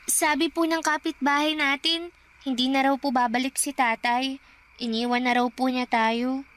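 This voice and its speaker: High-voiced innocent little Filipino girl. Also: fairly even intonation, normal speed, a bit bright. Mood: anxious, plaintive. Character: young hungry granddaughter, innocent, anxious, plaintive. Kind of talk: Tagalog radio drama acting.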